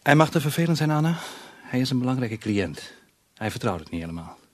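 An actor playing a middle-aged, sociable but firm business colleague, tactful and insistent, in a radio drama. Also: male voice, medium pitch, sing-song, brisk. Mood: concerned, insistent.

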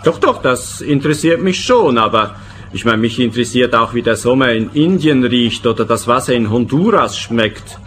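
An actor playing a flippant, spaced-out, indifferent lover in a comic radio play, airy and nonchalant. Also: male voice, mid-range voice, expressive, moderate pace, a bit bright, slight background noise, lightly acted.

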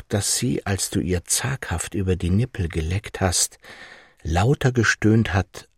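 Male audiobook reader, low and extremely raspy, for literary prose in a dry studio. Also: no room sound. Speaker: audiobook narrator. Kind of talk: literary second-person narration.

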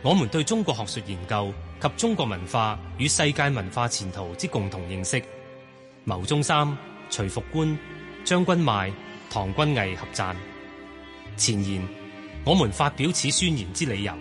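A man reads an old declaration aloud in a solemn, declamatory voice. His pitch rises and falls a lot as he stresses each phrase. Clear music plays underneath. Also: very animated, moderate pace. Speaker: reader of a historical text. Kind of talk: formal declamatory reading.

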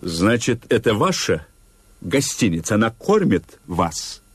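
Актёр играет подозрительного, угрожающего офицера тайной полиции, который отрывисто допрашивает в советской радиопостановке. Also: male voice, fairly low pitch, big pitch swings, unhurried, husky, no echo, exaggerated voice. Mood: veiled menace. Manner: curt, threatening, interrogating. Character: menacing, suspicious secret police officer following orders. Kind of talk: Soviet radio drama acting.

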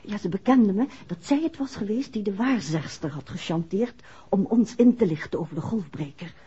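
Husky composed wife in an old 60s radio play, lively intonation, muffled recording. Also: female voice, mid-range voice, normal speed. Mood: explaining. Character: composed, caring detective's wife recounting events to her husband. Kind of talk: 1960s radio play acting.

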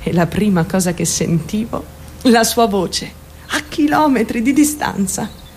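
A woman's voice: medium pitch, expressive, measured pace, a little mellow, slight background noise. A woman acting a theatrical radio monologue as a troubled young woman, defensive and candid, rambling and addressing her listeners directly. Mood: wistful, self-deprecating. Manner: confiding, addresses listeners directly with rhetorical questions. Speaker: actor.

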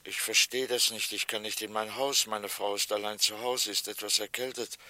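An actor playing a polite, bewildered husband in a 1960s radio drama, speaking precisely. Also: male voice, lowish voice, steady tone, moderate pace, pushed and bright. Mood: puzzled, uneasy. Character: polite, bewildered English husband locked out of home.

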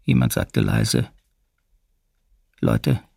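Grave war survivor giving testimony, gravelly husky voice, measured and somber, dry studio. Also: male voice, very deep voice, huge pitch swings, mellow, no echo, full character voice. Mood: grim. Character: grave partisan-linked husband recalling arrest and captivity. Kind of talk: dramatised survivor testimony for radio.

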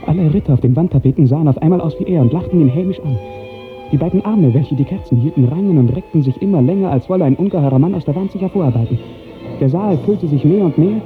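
A man narrating a literary 1950s German radio drama in a dramatic, declamatory style with measured diction. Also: a little high. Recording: 1950s radio recording, thin narrow band, music.

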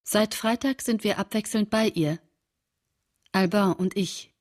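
A woman's voice: medium pitch, a little slow, natural voice. An actress playing a shaken woman writing her diary in a literary radio drama, speaking intensely in terse fragments.

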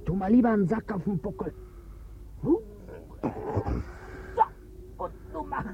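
On a dull, noisy old radio recording, a sharp-tongued washerwoman mother with an eastern German dialect. Her delivery swings widely in pitch, earthy and commanding, with biting sarcasm. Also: very animated, slow pace. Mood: bossy, impatient. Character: bossy, shrewd working-class washerwoman mother, scolding and sarcastic. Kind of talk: 1950s radio drama acting.

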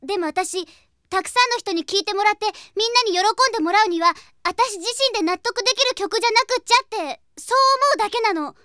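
Earnest, stubborn teen idol girl, very high, bright cute anime voice for audio drama. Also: fast talker, no echo, studio quality. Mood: apologetic, determined. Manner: frank, naive, stubborn. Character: earnest, headstrong teenage girl rookie idol.

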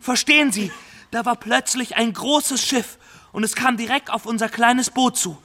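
An actor in a radio play playing an earnest, eager storyteller who insistently addresses the listener. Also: male voice, very high voice, normal speed, sharp tone, exaggerated voice. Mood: earnest, urgent. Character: earnest, eager male storyteller recounting his misfortune.

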